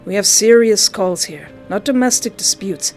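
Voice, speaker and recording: A woman's voice: medium pitch, normal speed, darkish tone, lightly acted. Woman as a tired, dismissive policeman. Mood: bored, dismissive. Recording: clear background music.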